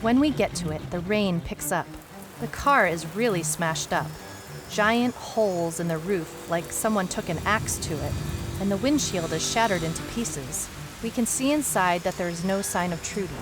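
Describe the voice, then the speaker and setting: A woman's voice: lowish voice, expressive, moderate pace, over music, natural voice. A woman playing a wry detective who recounts a strange case in a dry, storytelling way, as scripted audio drama narration. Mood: wry, matter-of-fact.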